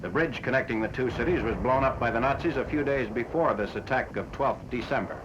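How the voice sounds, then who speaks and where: A man reading a scripted 1940s military newsreel narration in a formal, factual, measured manner. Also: mid-range voice. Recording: dull, noisy 1940s film soundtrack.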